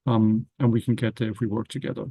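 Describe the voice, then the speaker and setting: A man speaking on a panel in a thoughtful, halting way, often restarting his sentences. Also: on the low side, steady tone, gravelly, dark tone, breathy edge, no room sound, low-quality recording. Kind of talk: reflective panel talk.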